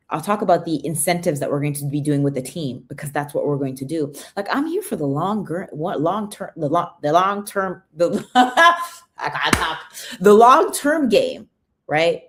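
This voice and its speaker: Low-voiced woman, chatty livestream host. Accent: American. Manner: chatty, greets viewers, asks "right?" a lot. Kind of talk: casual livestream talk to viewers.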